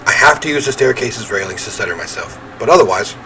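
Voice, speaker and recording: A man reading visual novel narration aloud in an expressive storytelling way, with casual commentary. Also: medium pitch, quick pace, a little hiss or hum, low-quality recording. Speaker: video game let's player.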